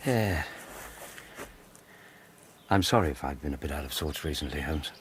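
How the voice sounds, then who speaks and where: An actor playing a loyal, melancholy English gentleman in a radio drama, reflective in his manner. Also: rumbling low, expressive, moderate pace, a little hiss or hum. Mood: wistful. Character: loyal, melancholy widowed gentleman, detective's companion.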